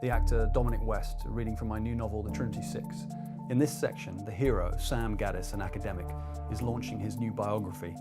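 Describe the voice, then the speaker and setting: A man's voice: fairly low pitch, steady tone, a little fast. Male author, scripted introduction to a book reading, prominent music bed underneath. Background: loud music.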